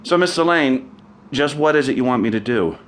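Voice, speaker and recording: An actor playing a shrewd, unflappable American defense lawyer in a radio drama, with a clipped, questioning manner. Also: male voice, mid-range voice, animated, normal speed, close to an everyday voice. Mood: measured. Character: shrewd, cool male criminal defense lawyer.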